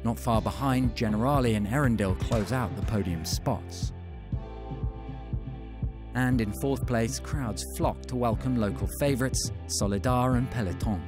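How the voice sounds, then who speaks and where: A man giving scripted, measured documentary narration as a studio voice-over. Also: mid-range voice. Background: music.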